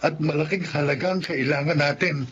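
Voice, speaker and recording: A man's voice: fairly high pitch, a little fast, lo-fi sound, background noise, natural voice. A man playing a worried, earnest husband and father in a Filipino radio drama. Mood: grave, worried.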